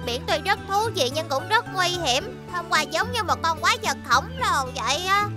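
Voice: extremely high pitch, lively intonation, normal speed. An actor voicing an eager, earnest little boy vehicle in a children's cartoon dub. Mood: earnest, sorry. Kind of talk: dubbed children's cartoon acting. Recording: music behind the voice.

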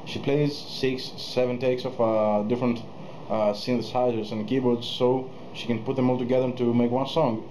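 A man in his thirties, a musician hosting his own channel, talks casually to camera in a small room at night, pausing often with fillers and restarts. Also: medium pitch, little pitch movement, warm tone, slight background noise, rough recording. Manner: hesitant, many fillers and restarts. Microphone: webcam close to face.

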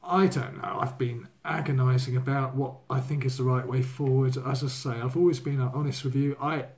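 A man in his sixties with a hoarse voice from a cold, talking candidly in a casual video diary from his living room, full of ums and uhs. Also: mid-range voice, steady tone, normal speed, a little mellow. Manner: candid, rambling, many fillers. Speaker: vlogger. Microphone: laptop or phone camera at desk.

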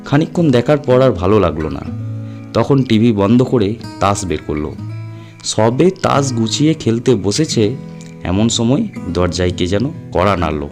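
A man tells a scripted suspense story in a low voice. He reads it as a dramatic audio storyteller, with music playing behind him. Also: very animated, a little slow, a little mellow.

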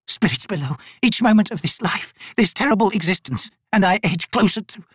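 A woman's voice: mid-range voice, lively intonation, brisk, breathy edge, dry recording, clean studio sound, voice pushed up. A ragged old wisewoman. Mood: despairing. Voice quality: cracked, ragged.

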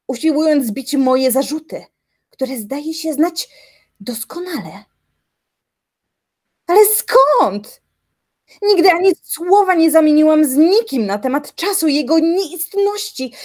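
An actress playing an obsessive, indignant young reclusive philosopher in a radio drama, brooding aloud with dramatic deliberation. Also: female voice, sits high, darkish tone. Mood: incredulous, insistent. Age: young adult. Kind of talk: theatrical radio drama monologue. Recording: music behind the voice.

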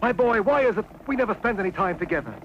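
High, fast-talking cartoon king. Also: expressive, fairly bright tone. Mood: jovial. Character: jovial, fussy middle-aged king and doting father. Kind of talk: vintage cartoon voice acting. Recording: muffled old cartoon soundtrack with hiss.

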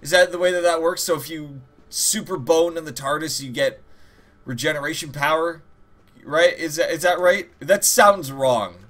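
A man's voice: fairly high pitch, moderate pace, a bit bright. A man giving casual gameplay commentary as host, rambling and joking with plenty of swearing and mock-dramatic asides. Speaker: gaming video host. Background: game music.

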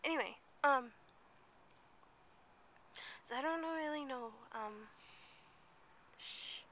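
A woman's voice: high-pitched, slow, a bit bright, slight background noise. Chatty young woman with a cold. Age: young adult. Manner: rambling and chatty, drops to whispers at times. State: sick with a blocked nose. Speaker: vlogger. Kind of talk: casual vlog chat. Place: outdoors at night near a playground and parking lot. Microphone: handheld camera at arm's length.

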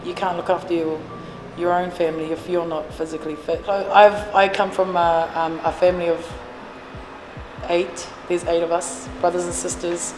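A woman's voice: lowish voice, flat delivery, normal speed. A woman in her forties who runs a café and trains fitness classes, answering interview questions earnestly with many fillers and restarts. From New Zealand. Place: café, indoors. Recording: echoey room with long reverb and music behind.

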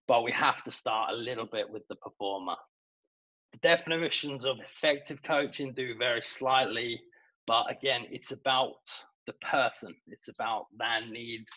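A British man, a golf coach, giving a measured webinar presentation over a video call, with some hesitations. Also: fairly low pitch, bright, forceful tone, lo-fi sound. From Britain.

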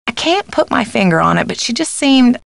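An actress playing a kindly, motherly middle-aged American housekeeper in a video game, genteel and gently confiding. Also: fairly high pitch, sing-song, fairly quick, vocal fry, no echo, heavily acted. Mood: gossipy, thoughtful. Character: kindly, motherly, concerned housekeeper woman on the phone. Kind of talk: acted game dialogue lines.

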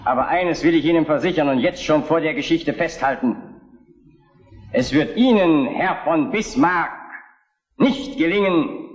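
An actor playing an indignant liberal opposition deputy in a German historical radio play, declaiming solemn accusations with heavy emphasis. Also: male voice, fairly high pitch, measured pace, long pauses, muffled recording, lightly acted. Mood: righteous, accusing. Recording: echoey parliament chamber.

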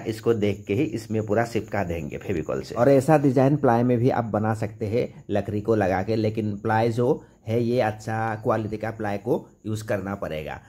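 A man's voice: lowish voice, a little mellow. A young man narrating an informal carpentry how-to, explaining each step with some repetition. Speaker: carpenter demonstrating on own channel.

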